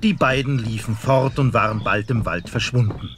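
A man narrating a fairy tale in an expressive storytelling manner. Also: mid-range voice, moderate pace, slight background noise.

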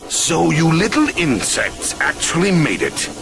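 A man voicing an evil floating mask spirit with a deep gravelly voice, relishing his threats in a video game. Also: wildly swooping pitch, unhurried, heavily acted. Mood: gloating. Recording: game soundtrack music behind.

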